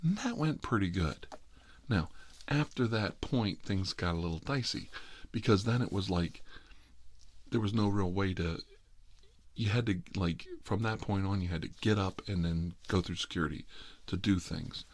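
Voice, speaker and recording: Middle-aged man, American accent, fairly low pitch, casual storyteller, wry, self-deprecating. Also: normal speed. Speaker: host. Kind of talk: casual rambling personal storytelling.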